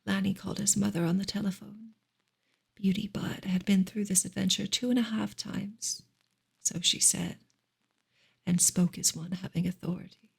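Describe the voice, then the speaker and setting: A woman whispering a novel aloud as a soft ASMR reading, in a hushed, gentle and breathy voice with creaky fry. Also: medium pitch, little pitch movement, moderate pace. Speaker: ASMR reader.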